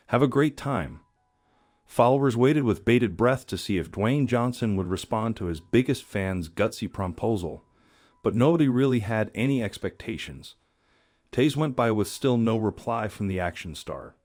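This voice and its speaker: A man's voice: lowish voice, darkish tone, no echo, clean studio sound. A man reading scripted story narration in a dramatic storyteller manner.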